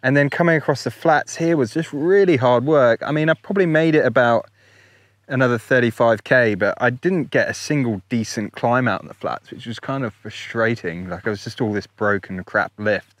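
A man's voice: medium pitch, moderate pace, dry recording. A man in his thirties giving casual, matter-of-fact first-person commentary as a paragliding vlogger, recorded outdoors on an action camera. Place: outdoors, in flight and in an open field. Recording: wind and outdoor noise.

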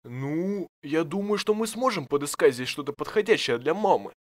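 An actor voicing an easygoing, absent-minded daddy pig in a children's cartoon, musing and hesitant in an expressive way. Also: medium pitch, moderate pace, close to an everyday voice. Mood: mild, hesitant.

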